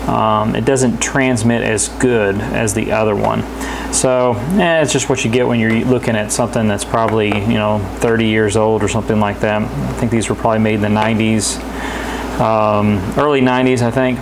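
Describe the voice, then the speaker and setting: A man giving a casual, unscripted product demonstration indoors, speaking in a halting, hesitant, plain-spoken way. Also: lowish voice, steady tone, vocal fry, noisy background. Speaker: product reviewer. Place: indoors in a house.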